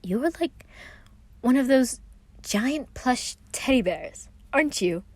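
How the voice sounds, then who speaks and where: A woman's voice: sits high, lively intonation, slow, darkish tone, no echo, voice pushed up, full character voice. A woman voicing a small, theatrical young man with a charming, slightly hesitant and polite manner in a story reading. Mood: dazed wonder.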